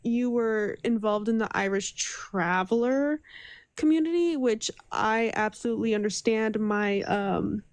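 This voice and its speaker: A woman's voice: fairly high pitch, expressive, a little slow, clear, resonant tone, no echo, studio quality. A woman guest in a casual live-stream chat, speaking emphatically with many fillers.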